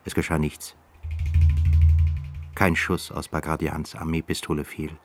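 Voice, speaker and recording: A man narrating a radio play in a measured, solemn storytelling manner. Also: very deep voice, flat delivery, a bit bright, soft background music.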